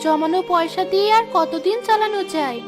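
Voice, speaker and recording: A woman's voice: extremely high pitch, a little fast, music in the background. A woman voicing a poor, devoted, worried young village mother in a cartoon, with a dramatic, exclaiming delivery. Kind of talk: Bengali cartoon voice acting.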